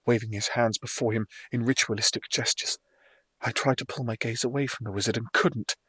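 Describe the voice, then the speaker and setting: Man narrating a dark fantasy audiobook, dry recording. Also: fairly low pitch, slightly rough, dry recording. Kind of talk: scripted dramatic first-person fantasy narration.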